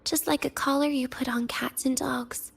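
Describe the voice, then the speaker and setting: A woman voice acting a weary, teasing, guarded and cynical young woman patient in a video game, with a breathy, languid delivery that slips into near-whispers. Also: high-pitched, flat delivery, moderate pace, exaggerated voice. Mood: resigned, bitter. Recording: music behind the voice. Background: background music.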